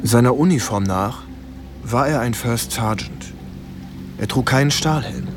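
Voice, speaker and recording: A male actor plays a serious young-officer type, a lieutenant in a war radio drama. He speaks in a measured, earnest way at a middle pitch. Music plays clearly behind him. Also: natural voice. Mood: sober, recollecting. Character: sober, bewildered army lieutenant and writer recalling the war. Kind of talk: radio play narration and dialogue.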